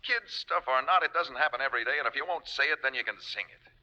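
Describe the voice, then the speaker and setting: Bright, fast teasing phone sweetheart. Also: male voice, mid-range voice. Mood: playful. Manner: teasing, energetic. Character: brash, teasing, affectionate man calling his sweetheart. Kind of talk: 1950s radio drama acting. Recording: dull, band-limited vintage radio phone scene.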